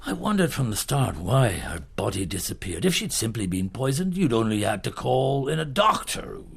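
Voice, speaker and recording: Shrewd detective chief, husky and gravelly, lively swooping intonation, deliberate, relentless, radio drama. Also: male voice, medium pitch, heavily acted. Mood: accusing, measured. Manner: deliberate, probing, insistent questioning with pauses. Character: shrewd, relentless male police detective chief, middle-aged.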